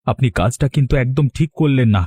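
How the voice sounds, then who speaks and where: Man acting a mellow-voiced humble young farmer. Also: mid-range voice, fast, slightly rough, no echo, clean studio sound, lightly acted. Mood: bewildered, protesting. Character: humble, honest poor young farmer and husband. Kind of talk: cartoon story voice acting.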